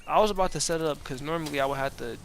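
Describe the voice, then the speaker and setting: A man's voice: a little high, racing, a little hiss or hum. A man of about twenty talking casually and profanely over gameplay, rambling with many restarts and slang. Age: 20s. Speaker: gaming video creator.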